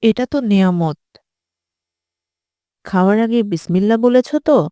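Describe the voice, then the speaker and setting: A woman voicing a gentle, devout mother who guides her child in a scripted cartoon. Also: mid-range voice, normal speed, clear, resonant tone, dry recording, studio quality, natural voice. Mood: caring, instructive. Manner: nurturing, gently instructive.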